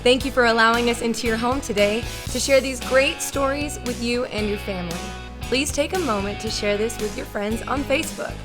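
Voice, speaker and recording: A woman's voice: fairly high pitch, brisk. A woman announcer reading a welcoming scripted introduction to a show. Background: music.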